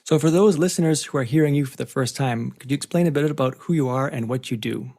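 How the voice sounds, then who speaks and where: A man's voice: medium pitch, fast, mellow. A man hosting an interview podcast, introducing and questioning a guest in a conversational style. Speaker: podcast host.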